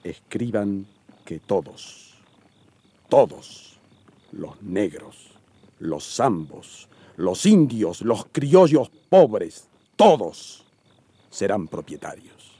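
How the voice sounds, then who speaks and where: An actor playing a resolute revolutionary general who dictates decrees in a commanding, emphatic way in a radio drama. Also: male voice, lowish voice, sing-song, extremely slow, warm tone, breathy edge, no room sound, exaggerated voice.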